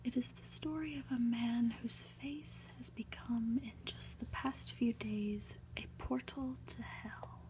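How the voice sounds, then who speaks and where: Woman whispering slowly, ASMR reading. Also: fairly high pitch, fairly even intonation, rough recording. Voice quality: breathy whisper. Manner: slow, deliberate, hushed delivery with dramatic pauses. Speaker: ASMR reader.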